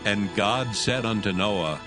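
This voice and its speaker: Measured male scripture narrator over music. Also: fairly low pitch. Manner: measured, solemn.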